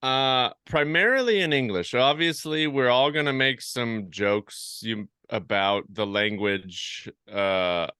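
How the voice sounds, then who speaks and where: A man's voice: medium pitch, slow, few pauses, sharp tone, smooth, no room sound, clean studio sound. A man who is a comedian, guesting on a podcast, telling self-deprecating stories and laughing often. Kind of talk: casual interview chat.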